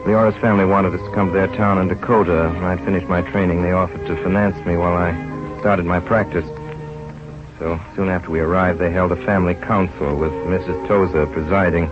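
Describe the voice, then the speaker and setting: A man's voice: sits low, steady tone, fairly quick, natural voice. An actor playing an earnest young doctor who tells his own story in an old radio drama. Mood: reflective. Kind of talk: 1930s radio drama acting and first-person narration. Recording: muffled old radio recording with music.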